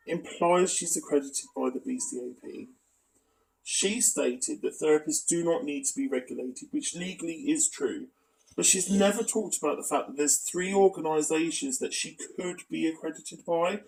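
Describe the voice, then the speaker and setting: A man's voice: fairly high pitch, gravelly, a little mellow, distant mic, poor audio. A man giving critical, explanatory commentary as a video commentator.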